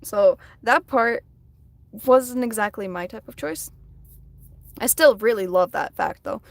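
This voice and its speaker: Soft-spoken woman chatting on a stream. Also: on the high side, animated, measured pace, gravelly, dry recording. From United States. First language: Russian. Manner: soft-spoken, chatty, reads viewer comments aloud. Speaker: live streamer. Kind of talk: casual live-stream chat while drawing. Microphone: phone.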